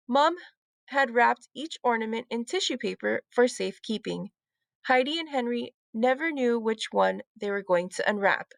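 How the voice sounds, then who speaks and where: A woman's voice: fairly high pitch, gravelly, no echo, studio quality. A woman narrating a children's storybook as a read-aloud storyteller.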